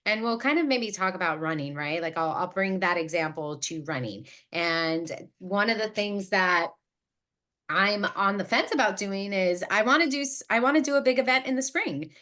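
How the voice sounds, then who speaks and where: Bright-voiced woman thinking out loud. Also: medium pitch, expressive, moderate pace. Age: late 30s. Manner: self-reflective, thinking out loud, wordy. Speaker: podcast host. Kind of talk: casual, reflective conversation.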